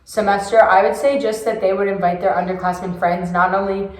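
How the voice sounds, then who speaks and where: A woman's voice: mid-range voice, steady tone, brisk. A young woman, a youth ministry volunteer leader, answering interview questions in an earnest, enthusiastic way. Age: young adult. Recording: echoey room.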